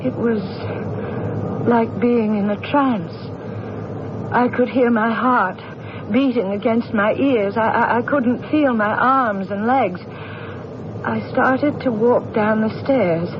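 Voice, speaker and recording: A refined, wealthy woman under suspicion speaks with careful, guarded formality. Her measured delivery falters into hesitation, in the manner of old radio mystery drama. The sound is muffled, with heavy background noise. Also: on the high side. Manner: measured, guarded, formal, halting when recounting. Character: poised wealthy widow accused of killing her husband.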